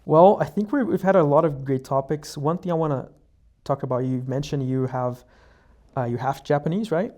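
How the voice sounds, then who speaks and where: A man's voice: on the high side, moderate pace, a little mellow. Male podcast host from Brazil. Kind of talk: casual interview.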